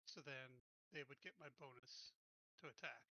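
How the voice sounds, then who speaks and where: A man's voice: medium pitch, normal speed, vocal fry, sharp tone, dry recording, poor audio. A man talking casually as a player in a tabletop game.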